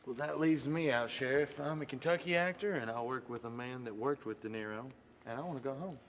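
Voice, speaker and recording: A man's voice: medium pitch, a little fast, a little hiss or hum, natural voice. A man playing a defiant, whiny small-town Kentucky actor in a comic film, petulant and blustering. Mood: petulant, evasive.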